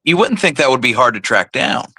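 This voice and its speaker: A man's voice: medium pitch, sing-song, a little fast, bright, forceful tone, breathy edge, no room sound. A man co-hosting a show, musing aloud and posing questions in casual, speculative discussion. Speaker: co-host of a radio history show.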